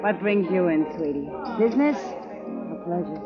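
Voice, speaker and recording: A world-weary western saloon hostess, teasing and sardonic. Her American voice moves in lively swoops. Loud music plays behind on a dull vintage radio recording. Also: on the low side, measured pace. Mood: flirtatious. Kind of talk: old-time radio western acting.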